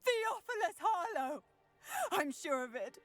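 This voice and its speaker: A woman's voice: extremely high pitch, big pitch swings, measured pace, dry recording, exaggerated voice. A woman playing a middle-aged widow and anxious mother in a video game, sorrowful and anxious. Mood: distraught. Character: grief-stricken widowed mother, anxious, gentle villager witch. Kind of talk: video game character dialogue. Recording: music behind the voice.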